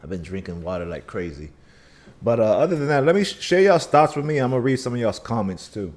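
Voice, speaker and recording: A man's voice: mid-range voice, sing-song, quick pace, a little mellow. A man hosting a casual live stream, talking through chart analysis with a scratchy voice and a dry mouth, thinking aloud with many restarts. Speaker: livestream trading host.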